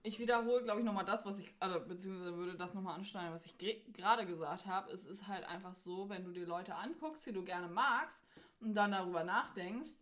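A woman's voice: mid-range voice, a little fast, a bit bright. Young woman chatting casually, giggly and informal. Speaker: podcast co-host. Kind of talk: casual conversational podcast chat.

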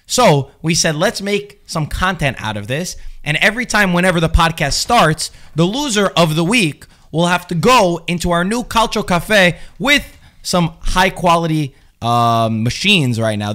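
A man's voice: on the high side, expressive, a little slow, sharp tone. Man hosting a casual sports podcast. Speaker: podcast host. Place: podcast studio.

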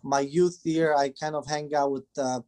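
A man's voice: a little high, fairly even intonation, normal speed, mellow. A man in his forties from Romania, speaking English with a Romanian accent as a second language, answering interview questions hesitantly with many filler sounds. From Transylvania, Romania. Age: 40s. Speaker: guest, band manager and father. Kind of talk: casual interview chat.

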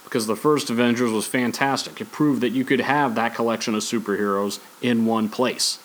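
A man's voice: medium pitch, fairly even intonation, brisk, a little hiss or hum, rough recording. A man hosting a podcast, talking casually in a rambling, opinionated, conversational way. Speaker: podcast host. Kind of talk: casual opinionated podcast talk on sports and movies.

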